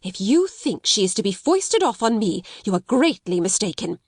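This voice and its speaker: A woman's voice: mid-range voice, very animated, normal speed, a little mellow, husky, dry recording. Woman playing a fierce, commanding schoolmistress, dry sound. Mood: furious. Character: stern, bitter, domineering schoolmistress, cold older sister.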